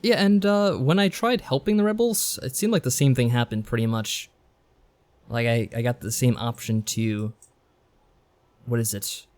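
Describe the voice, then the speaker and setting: A man with an average-pitched voice co-hosts a podcast. He talks in a loose, unscripted, easygoing style and tends to think out loud. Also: moderate pace. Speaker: podcast co-host. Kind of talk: casual unscripted podcast chat about games.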